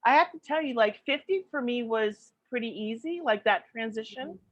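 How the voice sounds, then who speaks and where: Giggly, animated woman in her late fifties. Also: a little high, normal speed, lo-fi sound. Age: 50s. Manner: giggly, animated storytelling with frequent laughter. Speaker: podcast co-host. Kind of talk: casual chat.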